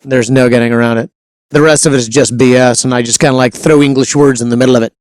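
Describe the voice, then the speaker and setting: A man's voice: medium pitch, steady tone, fast, darkish tone, no echo. A man hosting a casual interview show, upbeat and hearty, hyping up his guest. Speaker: show host.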